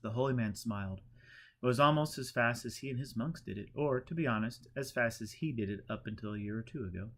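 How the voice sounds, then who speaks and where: A man's voice: mid-range voice, a little fast, a bit bright. A man reading an audiobook, narrating a story from a script. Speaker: audiobook reader.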